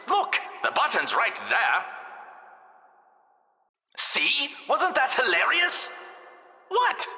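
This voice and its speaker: Smug evil lab scientist for a video game, super sharp voice, swoopy pitch, booming hall reverb. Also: male voice, exaggerated voice. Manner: condescending, deadpan sarcasm breaking into indignant outbursts. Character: gleefully sadistic, petty mad male scientist supervising tests. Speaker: game narrator. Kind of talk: theatrical video game narration. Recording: echoey hall with long reverb.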